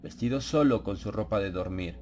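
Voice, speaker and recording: A man narrating a dramatized horror audiobook in a measured, solemn storytelling manner. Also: on the low side, darkish tone, faint music behind. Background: ambient sound effects.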